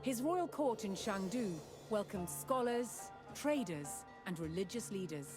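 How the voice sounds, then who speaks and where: A woman delivers scripted documentary narration in a measured, dramatic storytelling manner. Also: mid-range voice, lively intonation. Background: background music.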